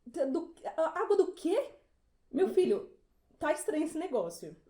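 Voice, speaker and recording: A woman's voice: extremely high pitch, sing-song, measured pace, warm tone, echoey room, heavily acted. A woman playing an exasperated, bewildered mother who scolds dramatically in an audio play. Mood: scolding.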